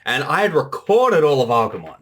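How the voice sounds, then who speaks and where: A creator chatting casually through a commentary track, in an animated, rambling way. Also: medium pitch, brisk. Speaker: creator giving commentary, voice actor. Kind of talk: casual commentary chat between two creators.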